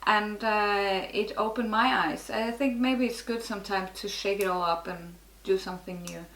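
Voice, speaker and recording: A woman's voice: medium pitch, fairly even intonation, moderate pace, a bit bright, a little hiss or hum. Norwegian woman with an echoey sound. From Norway. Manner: frank, restarts often, laughs at herself. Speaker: guest, network marketer. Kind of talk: casual interview chat.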